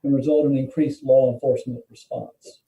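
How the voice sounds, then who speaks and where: Male board chair, courteous and procedural, very dark tone, off-mic in an echoey room. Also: medium pitch, level tone, a little fast, recorded from a distance. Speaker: board chair running a hearing. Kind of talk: formal questioning at a public meeting.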